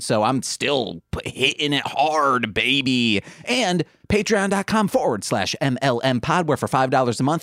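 A man hosting a podcast, rattling off plugs and shout-outs in a jokey, rambling way. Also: mid-range voice, no room sound, clean studio sound. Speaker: podcast host. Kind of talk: casual podcast outro with plugs.

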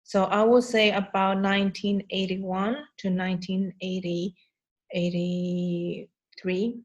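A woman from Sweden, with Hakka as her first language, talks freely as a guest on a podcast. Her English has non-native grammar and many fillers. Her voice sits at an ordinary middle pitch. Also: level tone, slow pace, breathy edge, lo-fi sound. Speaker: guest, lash artist. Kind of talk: podcast interview.

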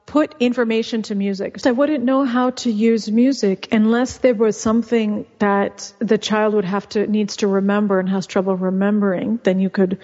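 A woman's voice: mid-range voice, darkish tone. Chatty woman on a muffled, reverberant line. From California. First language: Russian. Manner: chatty, explanatory, with frequent restarts. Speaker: guest, author. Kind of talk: casual interview talk.

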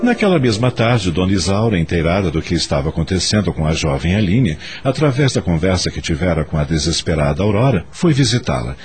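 A man's voice: sits low, sing-song, brisk, hardly any pauses, music in the background. A man with a Brazilian accent narrating a scripted radio drama in a dramatic storytelling style.

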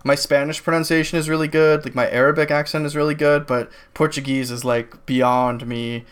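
A man's voice: on the high side, fairly even intonation, a little fast, a little hiss or hum. A man co-hosting a casual political discussion podcast, rambling with many fillers and restarts. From New England, United States. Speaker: podcast co-host.

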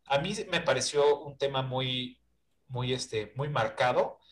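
A man's voice: medium pitch, measured pace. A man with a Mexican accent in a casual film discussion, hesitant, searching for words and often seeking agreement.

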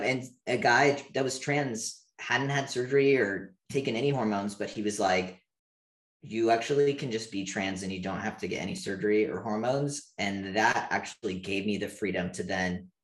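Open, animated man in an echoey room. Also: fairly low pitch. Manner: open, animated, frequent "like" fillers. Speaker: interview guest. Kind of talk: candid interview conversation.

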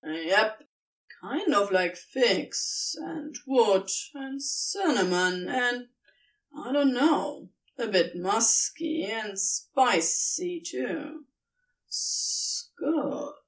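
A woman's voice: mid-range voice, lively intonation, extremely slow, distant mic, rough recording. A woman voicing a laid-back, flirtatious talking serpent in a fan fiction audio story, casual, teasing and a little hesitant. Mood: appreciative, casual. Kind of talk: audiobook-style fan fiction character reading.

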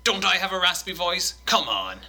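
Man, bright forceful voice, casual podcast banter, animated quote reading. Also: sits high, moderate pace, creaky. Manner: animated, swearing, laughing while reading quotes.

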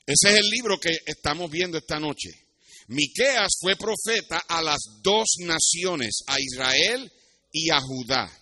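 A man's voice: on the high side, expressive, unhurried, bright, forceful tone. A man with a Puerto Rican accent preaching as a pastor, teaching scripture and telling personal stories in a didactic way, with occasional asides in English. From Puerto Rico. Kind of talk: sermon with Bible teaching and personal anecdotes.

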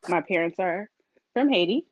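A woman from Miami, Florida, a guest talking casually about her vegan business, relaxed and filler-heavy. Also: on the low side, normal speed, no echo. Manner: relaxed, frequent ums and laughs. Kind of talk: casual interview chat. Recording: dry, dull lo-fi audio.

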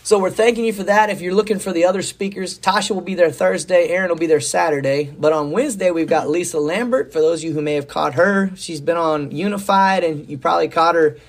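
A hoarse middle-aged man with a slightly high-set voice, chatting as a podcast pastor. He rambles in a folksy, upbeat way, with a worn, scratchy throat. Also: steady tone, quick pace, hardly any pauses. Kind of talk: casual faith talk.

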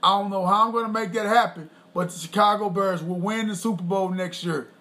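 A man co-hosting a podcast with his wife, talking in a loose, boastful, rambling way and clearing his throat often. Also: high-pitched, fairly even intonation, a little fast, bright, forceful tone. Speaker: podcast co-host. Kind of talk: casual chat.

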